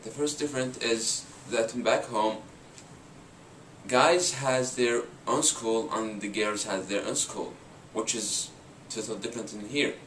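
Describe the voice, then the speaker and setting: A man's voice: lowish voice, fairly even intonation, a little slow, a bit bright, a little hiss or hum. A young man from Arabia, answering interview questions as an international student in a casual manner with filler words. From Saudi Arabia. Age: young adult. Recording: echoey room, mic at a distance.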